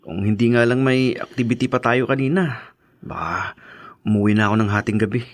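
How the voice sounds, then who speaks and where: A man acts out a devoted young husband in a radio soap. His voice is medium in pitch. He sounds earnest and full of concern for his wife. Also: brisk, lightly acted. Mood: uneasy. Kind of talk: Tagalog radio drama acting.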